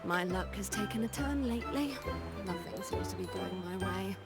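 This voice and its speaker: A woman's voice: medium pitch, expressive, normal speed, close to an everyday voice. A woman playing a dramatic, grumbling, luckless character in a video game, with a melodramatic manner. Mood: exasperated. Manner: melodramatic, exaggerated complaining. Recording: prominent music over voice. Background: loud game music.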